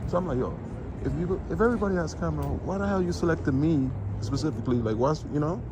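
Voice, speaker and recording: Guy, fired up asking questions, regular pitch, heavy traffic noise. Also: fast talker. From Colombia. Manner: animated, indignant, switches into Spanish. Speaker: citizen journalist. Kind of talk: street interview. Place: outdoors by a busy street. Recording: loud traffic noise outdoors.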